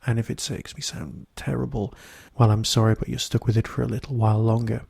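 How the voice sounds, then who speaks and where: Hoarse, gravelly male podcast host. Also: fairly low pitch, little pitch movement, brisk, a little mellow, no room sound, studio quality. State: hoarse from a throat infection. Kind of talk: solo review talk to listeners.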